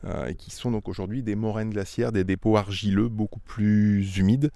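Gravelly-voiced middle-aged winemaker. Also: male voice, lowish voice, fairly even intonation, moderate pace, warm tone, dry recording. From Auvergne, France. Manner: thoughtful, repeats words while explaining. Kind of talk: casual interview. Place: outdoors among vineyards.

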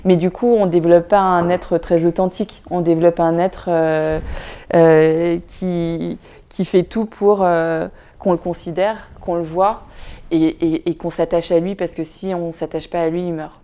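Low-voiced woman, reflective and halting. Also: steady tone, dark tone, clean tone. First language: Vietnamese. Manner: thoughtful, many fillers and restarts, addresses listener with "tu vois". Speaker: podcast guest, filmmaker. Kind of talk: casual reflective interview talk.

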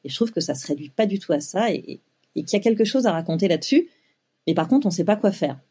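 A woman's voice: on the low side, lively intonation, fast talker, dark tone, slightly rough. A woman in her forties telling her own story as an interview guest, with many fillers and restarts. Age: 40s. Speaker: guest, web publisher.

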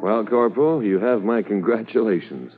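Male actor as senior police officer, 1950s radio drama. Low, formal, authoritative, tinny recording. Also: moderate pace, noisy background, close to an everyday voice. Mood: formal, judicious.

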